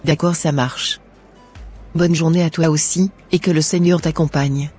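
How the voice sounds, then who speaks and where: Man acting as young cartoon woman, earnest, measured, husky, slightly high, music underneath. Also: fairly even intonation, a little mellow. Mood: earnest, polite. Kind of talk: scripted cartoon dialogue.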